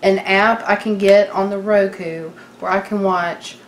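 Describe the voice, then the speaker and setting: A woman's voice: medium pitch, steady tone, measured pace. A woman chatting casually and unscripted as a co-host, informal and wry, joking and swearing mildly. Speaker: podcast co-host. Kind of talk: casual unscripted chat about TV. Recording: echoey room, background noise.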